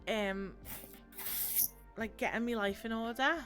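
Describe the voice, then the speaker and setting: A woman's voice: fairly high pitch, fairly even intonation, measured pace, over music. A woman hosting a live stream, chatting casually and laughing often, with lots of fillers. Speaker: streamer host.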